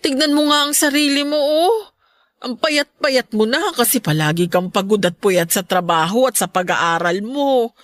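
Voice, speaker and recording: A woman playing a caring, worried middle-aged mother in a Filipino radio drama, gentle and fretful as she fusses over her child. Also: fairly high pitch, moderate pace, close to an everyday voice. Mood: apologetic, fretful.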